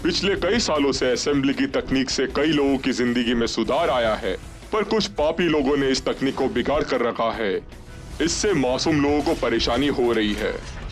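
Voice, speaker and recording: A man voicing a genial chief of a secret superhero base in a dubbed cartoon, formal, measured and explanatory. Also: mid-range voice, natural voice. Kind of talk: dubbed animated cartoon acting. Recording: music behind the voice.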